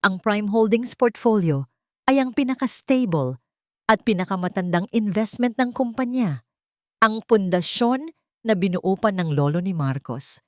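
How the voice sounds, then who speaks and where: A woman's voice: mid-range voice, expressive, unhurried, no room sound, studio quality. A woman reading a scripted love story as a dramatic narrator.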